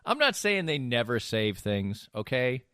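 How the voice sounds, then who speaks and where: A man's voice: mid-range voice, big pitch swings, a little slow, fairly bright tone, smooth, no echo. An American man hosting casual sports banter, emphatic and opinionated in the way he talks. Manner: emphatic, opinionated, mockingly acts out imagined quotes. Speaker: sports talk show host.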